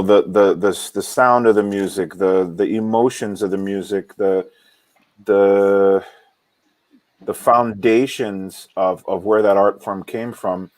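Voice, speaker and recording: Low-ish guy from hawaii, opera singer thinking out loud, lots of stops and restarts. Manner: halting, many repeated words and restarts. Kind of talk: reflective casual interview talk.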